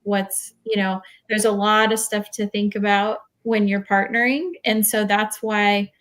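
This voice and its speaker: A woman speaks at a middle pitch in an informal, explanatory way. She comes across as an earnest investor advising others on a panel. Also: flat delivery, a little slow, a bit bright. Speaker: real estate investor on a panel.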